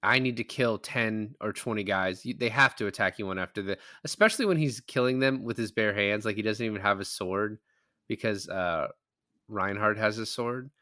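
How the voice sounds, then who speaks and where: A man's voice: lowish voice, moderate pace, pushed and bright, dry recording, studio quality. A man in a casual film-recap chat, rambling along and acting out imagined lines as he goes.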